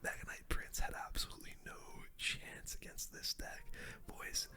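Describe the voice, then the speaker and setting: A person whispering casual ASMR gameplay commentary, breathy and unvoiced, full of gamer slang while talking through each move. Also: normal speed, bright, forceful tone. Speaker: game streamer.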